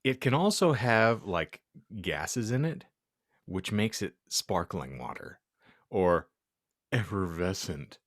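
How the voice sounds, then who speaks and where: A man's voice: deep voice, big pitch swings, takes it slowly, heavily acted. Man doing a melodramatic bratty teenage goth girl. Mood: smug lecturing. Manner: slangy, indignant, melodramatic. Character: bratty, foul-mouthed teenage goth girl essay writer. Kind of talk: comedic dramatic reading of fan fiction.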